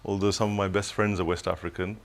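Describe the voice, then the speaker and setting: A man's voice: deep voice, level tone, fast, a crowd in the background. An older man from Tanzania, a studio guest on a telethon, speaking reflectively with many restarts.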